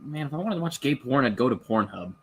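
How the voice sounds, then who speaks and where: A man's voice: medium pitch, animated, quick pace, fairly bright tone, slightly rough. A man bantering casually as a co-host, with crude, sarcastic humor.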